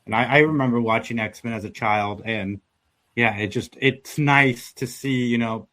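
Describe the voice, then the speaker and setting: A man's voice: medium pitch, normal speed, a bit bright. A man hosting a podcast, chatting casually and earnestly about a show he grew up watching. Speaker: podcast host.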